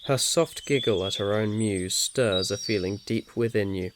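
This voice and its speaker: A man's voice: on the low side, moderate pace. A man reading scripted second-person story narration in an ASMR style. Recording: faint noise with birdsong behind.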